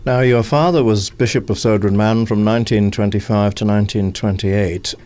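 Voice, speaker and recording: A man interviewing on radio in a conversational, measured way, prompting his guest with questions and tags. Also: fairly low pitch, fairly even intonation, a little mellow.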